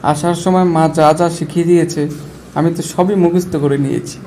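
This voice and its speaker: A man's voice: fairly high pitch, fairly even intonation, normal speed, mellow, slight background noise, close to an everyday voice, rough recording. A man voicing a foolish young son-in-law. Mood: earnest. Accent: Bangladeshi Bengali. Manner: earnest, naive and boastful. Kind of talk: cartoon voice acting.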